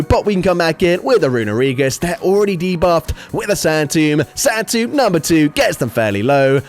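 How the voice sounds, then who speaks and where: A young man narrates his matches in quick play-by-play, his throat a little sore. His voice sits somewhat high for a man. Soft background music plays beneath him. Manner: brisk play-by-play with frequent fillers. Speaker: game commentator. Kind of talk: gameplay commentary.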